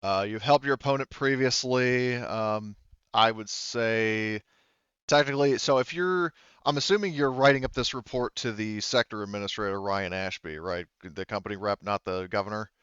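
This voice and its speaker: A man's voice: lowish voice, normal speed, a bit bright, smooth, no echo, highs cut off. A man talking casually as game master of a tabletop roleplaying game, thinking aloud as he explains rulings.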